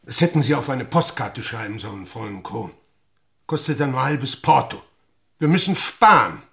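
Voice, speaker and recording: An actor in a German radio play as a thrifty, officious boss, pedantic and halting, with a gravelly voice. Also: male voice, medium pitch, animated, fairly bright tone. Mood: reproachful. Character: officious, thrifty male office boss dismissing his typist.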